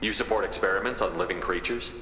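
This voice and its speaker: A man's voice: sits low, fast, bright, forceful tone. An actor playing a composed male military commander in a video game, speaking in a direct, businesslike way. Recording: echoey hall reverb, music behind.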